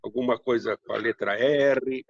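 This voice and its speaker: Older writer, native hungarian, slight hungarian-tinged accent, husky gravelly voice, muffled dull sound. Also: male voice, medium pitch, steady tone, no echo. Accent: slight Hungarian-tinged Brazilian Portuguese, heavy R. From Brazil, raised in São Paulo. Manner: unhurried, rambling, frequent tag questions. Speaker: guest writer. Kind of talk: casual interview storytelling.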